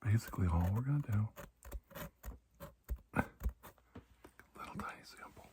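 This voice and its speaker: A man doing soft-spoken ASMR roleplay as a calm doctor, speaking gently and reassuringly, often dropping into a whisper. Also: on the low side, moderate pace. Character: calm, reassuring male doctor examining a patient. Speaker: ASMR performer playing a doctor.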